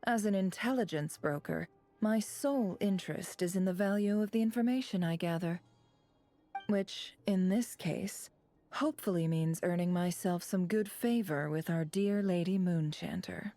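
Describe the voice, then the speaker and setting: A woman playing a cool, calculating intelligence broker in a video game, dry and measured, with formal wording. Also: lowish voice, warm tone, soft background music, lightly acted.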